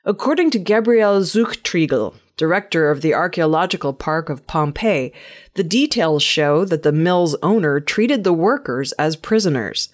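Woman newsreader, kinda low voice, measured n clear delivery, reading off a script. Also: animated. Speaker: news presenter. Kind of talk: scripted news narration.

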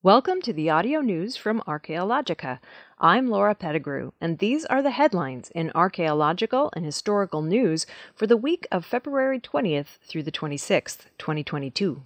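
Woman, news presenter, lower-pitched voice, animated intonation, scripted narration. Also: normal speed, no echo.

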